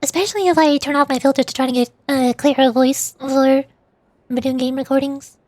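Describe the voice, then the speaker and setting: A woman's voice: high voice, fairly even intonation, a little fast, a little mellow, no room sound. A giggly woman streamer chatting casually to her viewers on a livestream.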